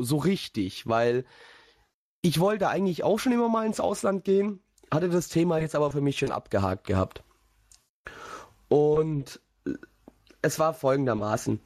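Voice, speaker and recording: A man's voice: on the high side, a little mellow, no room sound. Chatty young man, dry close sound. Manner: rambling, chatty, many fillers and restarts. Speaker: podcast host. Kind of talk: casual storytelling chat.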